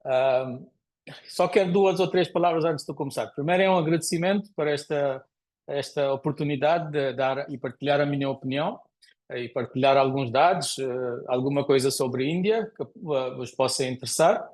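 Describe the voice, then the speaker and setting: A man's voice: medium pitch, normal speed, a little mellow. A man, speaking politely and carefully in a language that is not his first, as a guest speaker on a panel. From India. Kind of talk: panel answer.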